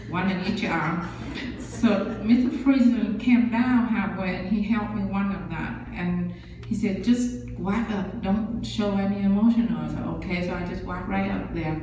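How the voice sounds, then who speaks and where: A woman's voice: mid-range voice, noisy background. A woman in her sixties from Vietnam with a Vietnamese accent, giving an earnest personal testimony in a church with many fillers and simple grammar. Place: large church sanctuary. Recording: echoey church hall, speaker far from mic.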